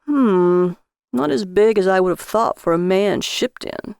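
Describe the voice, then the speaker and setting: A woman's voice: medium pitch, a little slow, gravelly, a little mellow, smooth, dry recording, studio quality. A woman voicing a childish, gullible, easily wounded husband in an audio story. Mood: puzzled.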